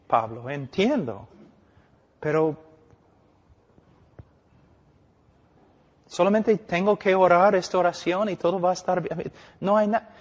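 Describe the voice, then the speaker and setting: A man voicing a big, frightened dying backwoods rancher in a preacher's story, with blunt short statements, in a reverberant hall. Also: high-pitched. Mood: doubtful, weary.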